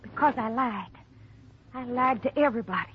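An American actress playing a desperate, lovesick killer on a ranch in a 1950s radio drama, intense and pleading. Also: female voice, a little high, normal speed, slightly rough. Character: desperate, lovesick murderous young ranch woman. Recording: dull, noisy vintage radio recording.

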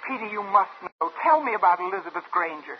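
A woman's voice: medium pitch, lively intonation, fast, slight background noise, lightly acted. An actress in a 1950s radio drama playing a desperate, guilt-ridden woman on the run, with an intense, confessional delivery. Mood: urgent, pleading. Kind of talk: 1950s radio drama acting with first-person narration. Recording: muffled old radio broadcast with music.

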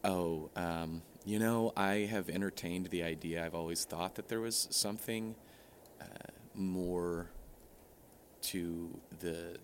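A man being interviewed in a comedic mock interview, talking in a halting, deadpan way full of hesitations. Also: sits low, slight background noise. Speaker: interview guest.

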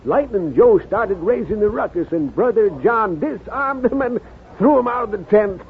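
High-voiced folksy western gossip. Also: male voice, lively intonation, moderate pace. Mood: gossipy, amused. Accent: American. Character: chatty, folksy old-West cafe swamper, gossipy man. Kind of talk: 1950s radio western acting. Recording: muffled, noisy 1950s radio recording.

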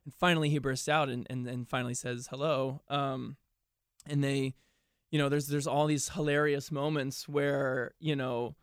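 A man talks as an actor in a casual interview. His voice is smooth and resonant at a middle pitch, recorded close and dry. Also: flat delivery, moderate pace, no room sound.

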